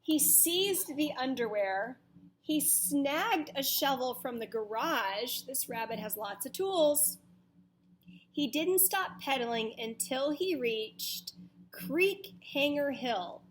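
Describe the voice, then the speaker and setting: Female children's read-aloud teacher. High-pitched, very unhurried, dramatic storytelling, puts questions to young listeners. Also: lively intonation, a little hiss or hum. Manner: deliberate, dramatic storytelling delivery.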